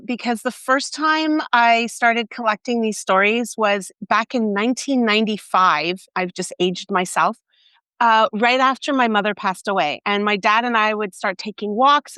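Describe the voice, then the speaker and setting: A woman's voice: on the high side, a bit bright, clear, resonant tone, no echo. A middle-aged woman, an author interviewed as a guest, speaking in a thoughtful, conversational way.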